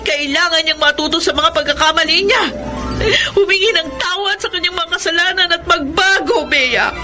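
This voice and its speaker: Radio drama daughter, Filipino, very high pitch, resolute yet wounded, emotional delivery over clear background music. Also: female voice, moderate pace. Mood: bitter resolve. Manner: emotional, tearful. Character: Alma, anguished, resolute adult daughter, bitterly ashamed.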